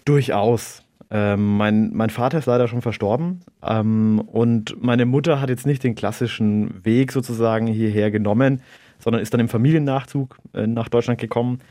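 A man in his thirties, a native Arabic speaker from Germany, answering interview questions in a measured, reflective way with an occasional chuckle. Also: medium pitch, fairly even intonation, warm tone, no room sound. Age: 30s. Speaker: interview guest, local politician.

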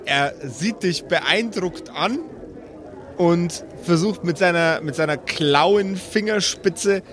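A man with a slightly high voice serves as game master. He improvises narration for a tabletop role-playing game and puts questions to his players. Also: slow pace, background noise.

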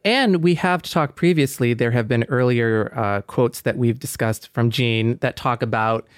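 A man co-hosts a podcast in a conversational style. His voice sits at an average pitch, recorded dry with no room sound. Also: a little slow, clear, resonant tone, no echo. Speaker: podcast co-host.

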